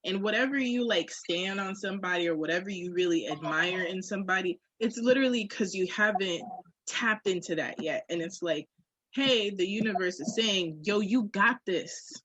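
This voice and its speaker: A woman's voice: mid-range voice, moderate pace, fairly bright tone, no echo, rough recording. A woman talking candidly on a podcast in an emphatic, slangy way, with swearing.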